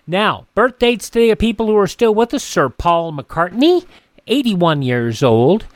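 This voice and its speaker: A man presents as a radio host in an informal announcing style. His voice sits a little high for a man, and the sound is dry and close. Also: big pitch swings, measured pace, no echo.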